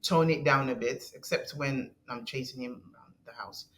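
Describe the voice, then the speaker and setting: A woman guest with a deep voice, talking in an earnest, halting way with frequent restarts and soft laughs. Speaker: podcast guest. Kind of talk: conversational interview.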